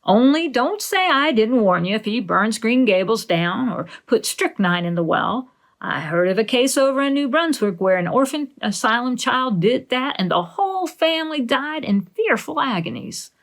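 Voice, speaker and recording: Lady doing a nosy older village gossip woman, blunt and scolding, pitch jumps way up, storybook style. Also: animated, moderate pace. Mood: warning. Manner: emphatic, scolding.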